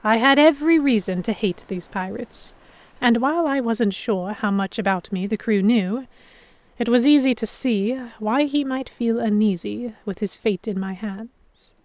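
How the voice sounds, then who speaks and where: A woman narrating in first person as a composed captive in a historical pirate audio drama, with measured, dramatic storytelling. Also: fairly high pitch, darkish tone, no echo. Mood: measured, tense. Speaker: narrator and lead actor.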